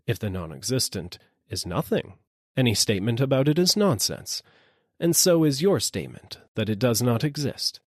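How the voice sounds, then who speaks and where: A man's voice: mid-range voice, no room sound, studio quality. Condescending, pedantic philosopher played by a man. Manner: patronising, pedantic, formal. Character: pedantic, condescending male president of sycophant philosophers.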